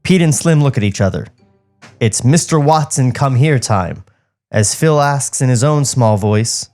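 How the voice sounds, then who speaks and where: A male podcast co-host reading a script in a studio, with a medium-pitched voice. He moves between narration and casual chat, over background music. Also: animated, unhurried, darkish tone.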